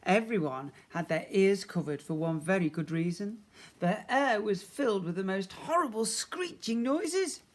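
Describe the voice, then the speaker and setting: A man reads a children's story aloud in a teacherly way. His delivery is expressive, with emphatic phrasing suited to young listeners. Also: high-pitched, unhurried. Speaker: primary school teacher.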